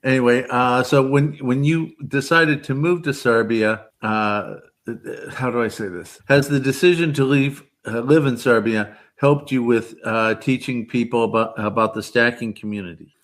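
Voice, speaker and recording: A man hosting a casual interview, speaking conversationally and haltingly as he searches for his words. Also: medium pitch. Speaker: interviewer, channel host.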